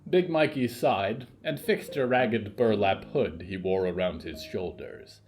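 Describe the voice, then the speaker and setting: A man's voice: lowish voice, unhurried, a little mellow, faint music behind. A man narrating a scripted fiction story as its storyteller.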